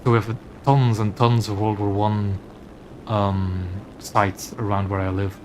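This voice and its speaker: A man's voice: lowish voice, level tone, unhurried, noisy background. Chatty Belgian man with a mixed accent. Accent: mixed Belgian and English. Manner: chatty, rambling with fillers. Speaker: gaming streamer. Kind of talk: casual commentary while playing.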